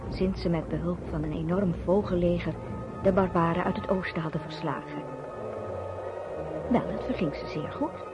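A woman narrating a radio play as a storyteller, with dramatic phrasing, deliberate pauses and rhetorical questions. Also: lowish voice. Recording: dull, band-limited old radio recording. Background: music.

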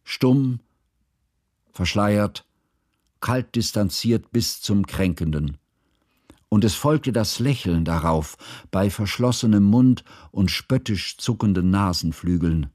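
A man acting in a radio drama as a grave, reflective narrator recalling a war in formal, measured literary language. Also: fairly low pitch, animated, a little mellow, no echo, clean studio sound. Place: studio.

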